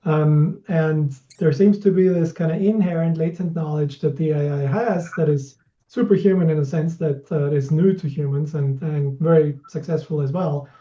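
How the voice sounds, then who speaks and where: A man asks a long, carefully measured question, softening his points with frequent hedges. His voice is very dark and mellow, and the audio is dull and lo-fi. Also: a little high, fairly even intonation. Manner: measured, hedging with "kind of". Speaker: interviewer, audience member.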